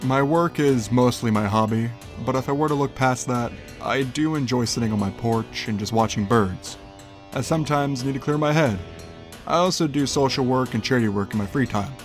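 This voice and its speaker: A man playing a reserved, polite teenage blacksmith in an amateur audio drama, calm and matter-of-fact. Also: medium pitch, moderate pace, soft background music, lightly acted.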